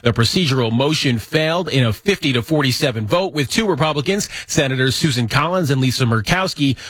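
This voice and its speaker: A man's voice: mid-range voice, fairly bright tone, breathy edge, no echo. A man with an American accent reading scripted radio news as an anchor. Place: dry studio.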